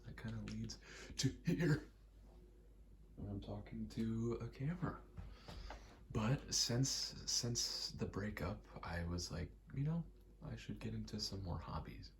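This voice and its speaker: A young adult man in a quiet, casual ASMR-style ramble, speaking candidly and hesitantly, often trailing off. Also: fairly low pitch, animated, unhurried, a little hiss or hum. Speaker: video maker.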